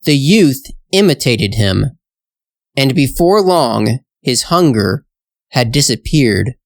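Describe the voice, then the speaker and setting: A narrator reading a children's storybook tale for language learners in a slow, deliberate, measured way. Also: mid-range voice, no room sound, studio quality. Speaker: storyteller, English teacher.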